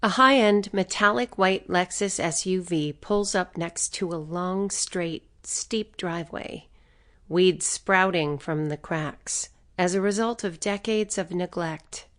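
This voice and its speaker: A woman's voice: fairly low pitch, slow pace. A woman reading scripted story narration for an audiobook.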